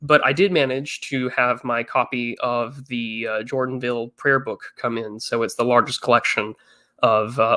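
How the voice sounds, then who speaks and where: Man, twenties, bright tone, mid pitch, congested, casual stream chat. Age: 20s. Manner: chatty, reads chat messages aloud. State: slightly congested.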